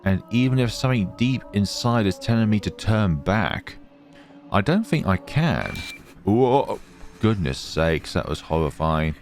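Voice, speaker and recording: A man's voice: on the low side, sing-song, unhurried. A man hosting a let's play, reading game text aloud dramatically and reacting. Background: game music.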